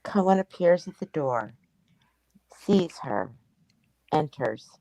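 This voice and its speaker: A woman's voice: low-pitched, expressive, takes it slowly, dark tone, dry recording, rough recording. A woman reading stage directions as scripted narration at a play table read.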